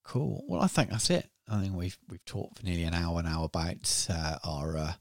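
A man's voice: low-pitched, expressive, normal speed, no room sound, studio quality. A man co-hosting a hobby podcast, talking casually and rambling with many ums and restarts. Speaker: podcast co-host.

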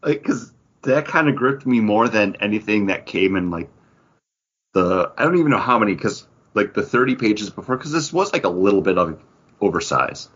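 A man's voice: fairly low pitch, animated, normal speed, fairly bright tone, husky. A man co-hosting a podcast, chatting casually about comics, rambling with lots of fillers and restarts. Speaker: podcast co-host. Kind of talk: casual comic review chat. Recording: dull lo-fi podcast audio.

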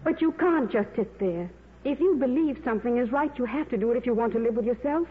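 Woman acting young stewardess, fairly high voice, earnest, caring, gently determined, 1950s radio drama, tinny band-limited broadcast. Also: expressive, fast talker, close to an everyday voice. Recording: reverberant, tinny, noisy old radio broadcast.

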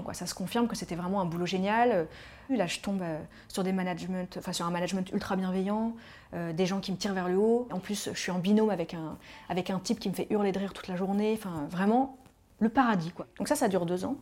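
A woman's voice: mid-range voice, brisk, darkish tone. A woman, candidly telling her own life story with frequent fillers. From Paris, France. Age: 30s. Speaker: tobacco cessation specialist telling her story. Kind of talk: personal storytelling podcast.

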